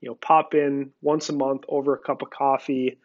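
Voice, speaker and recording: A man giving a relaxed, conversational screen-recorded walkthrough of farm software, with frequent filler words. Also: medium pitch, normal speed, muffled recording. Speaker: software company representative. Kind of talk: screen-recorded product demo.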